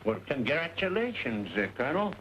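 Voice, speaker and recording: A man's voice: a little high, sing-song, normal speed, a bit bright, rough recording. Easygoing townsman, hissy recording. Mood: neighborly. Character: polite, easygoing Western townsman. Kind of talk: old Western film acting. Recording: old soundtrack with clear hiss.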